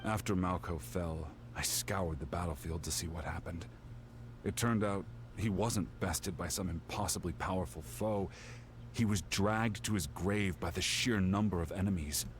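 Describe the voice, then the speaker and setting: A man voicing a somber, earnest warrior in a video game, measured and weighed down by guilt. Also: sits low, a little hiss or hum, lightly acted. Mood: regretful, resolute. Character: somber, guilt-ridden male warrior determined to save a lost comrade. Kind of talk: scripted video game voice acting.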